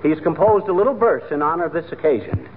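An actor playing a pompous, blustery small-town fire commissioner in a 1940s radio comedy. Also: male voice, a little high, fast, natural voice. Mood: officious. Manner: pompous, blustery, mangles grand phrases. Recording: tinny, noisy old radio broadcast.

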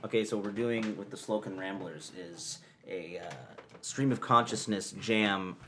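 A man's voice: on the low side, moderate pace, pushed and bright, a little hiss or hum. A man hosting a casual interview with a band, playful and teasing, often laughing. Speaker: podcast host.

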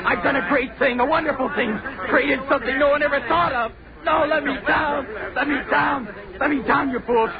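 Casting: desperate young student, very high shouted pleas, melodramatic 1930s radio horror, crowd behind. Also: male voice, steady tone, moderate pace, phone-quality sound. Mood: frantic, defiant. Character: frantic young male college student, defiant then terrified.

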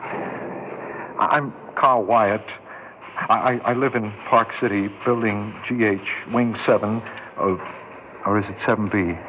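A man's voice: fairly low pitch, fairly even intonation, a little slow, long pauses, lightly acted. An actor playing a meek, anxious, lonely man in his thirties in a 1960s radio drama, hesitant and stammering. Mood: nervous, meek. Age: 30s. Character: meek, lonely, anxious thirty-eight-year-old nobody under arrest. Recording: muffled 1960s radio recording, faint noise.

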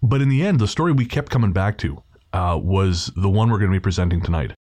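A man with a fairly low voice hosts a podcast. He introduces the show in a casual, conversational way. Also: quick pace, a little mellow, dry recording. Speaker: podcast host.

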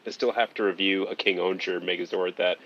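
A man's voice: sits low, brisk. Man in his twenties, muffled audio. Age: 20s. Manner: relaxed, rambling with many fillers. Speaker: guest. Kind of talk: casual podcast chat.

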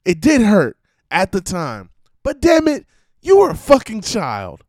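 Slightly hoarse man, high lively voice, preachy ranting monologue, dry close sound. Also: unhurried, dry recording. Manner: emphatic, preachy, swearing, repeats himself. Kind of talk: casual monologue rant.

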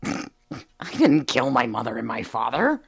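A woman's voice: rumbling low, big pitch swings, measured pace, gravelly, breathy edge, heavily acted. A cheeky evasive lady suspect in an audio play, teasing and manipulative, voice swings all over. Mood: evasive, testing. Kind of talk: audio drama dialogue acting.

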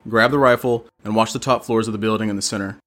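A man's voice: on the low side, fairly even intonation, quick pace, a bit bright, soft background music, close to an everyday voice. A man playing a cold, commanding professional scavenger in a post-apocalyptic audio drama. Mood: cold, calculating. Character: cold, professional scavenger villain giving orders.

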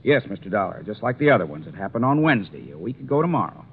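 Folksy police sergeant, tinny old radio. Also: male voice, fairly low pitch, sing-song, very fast. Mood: opinionated, earnest. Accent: American. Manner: plain-spoken, colloquial, opinionated. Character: folksy, talkative small-town male police sergeant. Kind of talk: 1950s radio drama acting. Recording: tinny, noisy 1950s radio recording.

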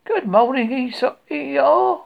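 A man voicing a cheerful, simple-minded male teddy bear in a bright, innocent tone. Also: very high-pitched, lively intonation, takes it slowly, gravelly, lo-fi sound, pitched above the performer's own voice, full character voice.

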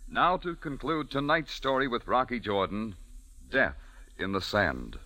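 A man announcing a program break in scripted radio style, smooth and formal. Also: mid-range voice, lively intonation, a little slow. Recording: dry old radio broadcast.

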